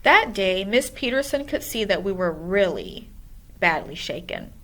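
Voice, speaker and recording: A woman's voice: medium pitch, very animated, a little slow, a bit bright. A woman reading a children's storybook aloud as narrator. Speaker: school administrator reading aloud.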